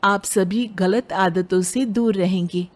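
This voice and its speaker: Casting: woman as young bride for a moral story, educated, outspoken, respectful but resolute, medium pitch. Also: steady tone, slow pace, lightly acted. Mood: solemn. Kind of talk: dramatized Hindi moral story.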